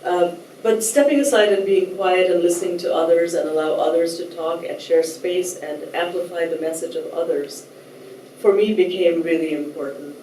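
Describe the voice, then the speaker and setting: A woman from Nepal giving a thoughtful, measured talk as a writer, at times reading a translated essay aloud, with soft hesitations. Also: on the low side, slight background noise. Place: lecture room. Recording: roomy echo, distant mic, faint ambience.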